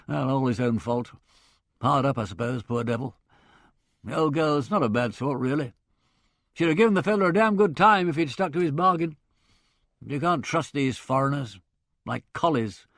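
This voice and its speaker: A man voicing a blustering, boorish middle-aged country squire, hearty and loud in manner. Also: medium pitch, animated, normal speed, gravelly, pauses often, no echo, studio quality.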